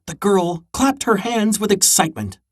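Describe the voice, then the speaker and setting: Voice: mid-range voice, lively intonation, normal speed. A narrator reading a children's story aloud from a script. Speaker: story reader.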